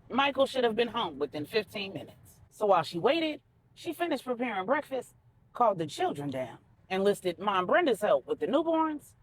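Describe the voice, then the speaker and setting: A woman narrating a scripted story in a deliberate, dramatic storytelling manner. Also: medium pitch, a little hiss or hum, low-quality recording.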